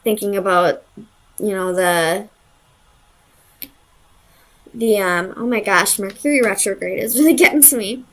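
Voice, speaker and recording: A woman's voice: mid-range voice, a bit bright. Woman thinking aloud, casual and hesitant. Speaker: tarot reader. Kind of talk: casual conversational tarot reading.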